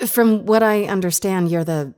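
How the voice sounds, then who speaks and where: A woman's voice: mid-range voice, darkish tone, clear, resonant tone, natural voice. A woman playing an anxious, earnest grief counselor in an audio drama, speaking in a hesitant, insistent way. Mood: anxious, urgent. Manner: hesitant, halting, earnest pleading.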